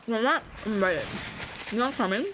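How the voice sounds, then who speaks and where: A woman's voice: a little high, expressive, normal speed. A woman from the United States giving casual, chatty, playful reaction commentary as a game streamer. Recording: clear background noise, clicks.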